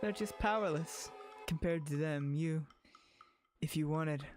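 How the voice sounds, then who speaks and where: A sinister tempter, played by a man from a visual novel dub, with a somewhat high-pitched voice. He coaxes and taunts, insinuating with leading questions and dangling power. Music runs underneath. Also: measured pace, natural voice. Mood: seductive, exultant.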